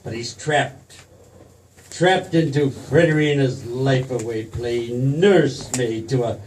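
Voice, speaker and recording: An actor in a 1940s-style radio play as a rich, condescending old banker, sly and smug in a deliberate delivery. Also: male voice, medium pitch, darkish tone, close to an everyday voice. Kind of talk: 1940s radio drama acting. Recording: distant stage mic, faint noise.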